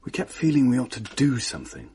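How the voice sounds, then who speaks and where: An actor playing a British man recounting his family's haunting in a radio play, measured, wry and uneasy. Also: mid-range voice, wildly swooping pitch, a crowd in the background. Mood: reflective. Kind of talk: dramatised first-person narration.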